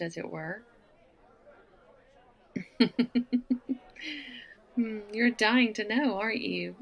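Slow, gravelly Southern woman, teasing. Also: medium pitch, rough recording. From American South. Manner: teasing, flirtatious, laughs often. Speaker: woman flirting with a stranger at a bar. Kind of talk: one-sided audio roleplay.

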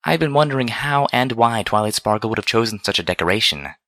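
A man voicing a refined, teasing female pony with a smooth, silky voice and genteel, formal diction, in a storybook reading. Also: low voice, moderate pace, bright, forceful tone, dry recording, studio quality. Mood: musing.

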